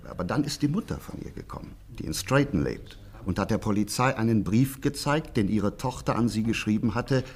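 A man's voice: fairly low pitch, lively intonation, a little fast, husky. A man acting an eager, gossipy small-town local in a German radio crime play, talkative and emphatic. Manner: talkative, emphatic, indignant asides. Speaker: actor in a radio crime drama.

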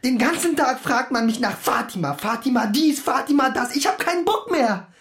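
An actor playing a tired, irritated but protective teenage brother in a radio drama, speaking bluntly and wearily. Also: male voice, very high voice, sing-song, normal speed, fairly bright tone, slightly rough, exaggerated voice. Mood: irritated, fed up. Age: young adult. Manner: weary, blunt.

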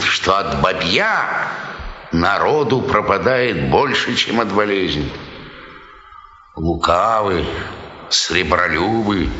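Bitter, slow, low-voiced tramp. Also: male voice, sharp tone, heavily acted. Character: bitter, lovelorn male tramp brooding over women's deceit. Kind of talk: theatrical Russian radio drama acting. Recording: dull, noisy, echoey radio play recording.

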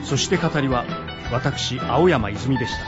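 A man's voice: mid-range voice, lively intonation, unhurried, muffled recording, over loud music. A man narrating a scripted radio drama with solemn, dramatic storytelling.

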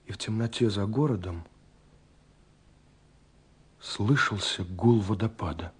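An actor playing a lovestruck young man who narrates his letters in a lyrical, wistful, intimate way, with pauses, in a romantic radio drama. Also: on the low side, breathy edge, exaggerated voice. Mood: pensive, wistful. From Russia. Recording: 1970s radio recording with music.

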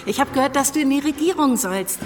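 An actress in a radio drama playing an affectionate, eager wife. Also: female voice, sits high, animated, quick pace. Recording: music behind the voice.

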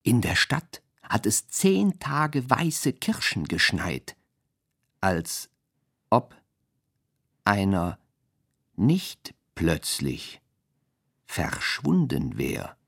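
A woman reciting literary poetry for a radio play with drawn-out, deliberate phrasing. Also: rumbling low, sing-song, vocal fry, breathy edge.

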